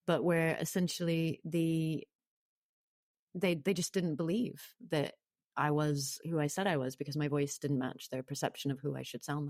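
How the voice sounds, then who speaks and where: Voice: mid-range voice, a little mellow, no echo, studio quality. A podcast guest talking conversationally in an interview, reflective but emphatic.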